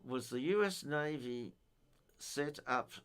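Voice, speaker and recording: An older man giving an informal technical explanation in a halting, methodical way. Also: medium pitch, lively intonation, smooth. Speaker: amateur radio enthusiast giving a technical talk.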